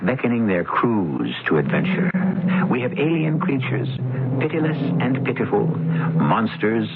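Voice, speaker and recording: A man hosting a radio mystery drama, introducing the story in a dramatic, measured narration. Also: medium pitch, big pitch swings, loud music behind the voice. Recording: tinny 1970s radio broadcast.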